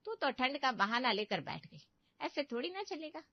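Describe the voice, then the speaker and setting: Woman acting nagging, pitying older aunt, high voice. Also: sing-song, moderate pace, dry recording, muffled recording, heavily acted. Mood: scolding. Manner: complaining, lecturing, exaggerated sympathy. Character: meddling older aunt, falsely pitying, nagging and fault-finding. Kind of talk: Hindi family cartoon story voice acting.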